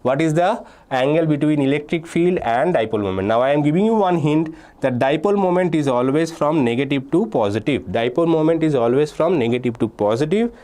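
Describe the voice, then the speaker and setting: A man's voice: fairly high pitch, lively intonation, a little fast, mellow. A young man from India teaching a live online physics exam-prep class, reading student answers from chat and repeating key points between "uh"s and "okay"s. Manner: explains step by step, reads student names and answers from chat.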